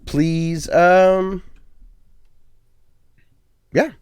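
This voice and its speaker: A man's voice: sits high, fairly even intonation, extremely slow, darkish tone, clean tone, dry recording, clean studio sound. A man co-hosting a casual gaming podcast, rambling and chatty with plenty of ums and uhs. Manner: self-deprecating, chatty. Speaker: podcast co-host.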